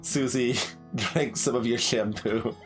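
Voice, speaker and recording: A man hosts a gaming video with casual commentary. He talks very slowly and haltingly, thinking aloud as he goes, with game music clearly playing behind him. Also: medium pitch.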